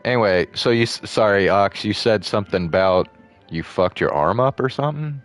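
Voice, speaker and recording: A young man streaming a game, talking casually in a low voice with vocal fry, laid-back and mumbling with swearing. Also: a little fast, faint music behind. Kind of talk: casual gaming stream chat.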